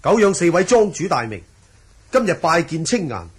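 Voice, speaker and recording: A man's voice: fairly high pitch, sing-song, normal speed, breathy edge, heavily acted. A man acting a respectful, humble young swordsman in a Cantonese radio drama.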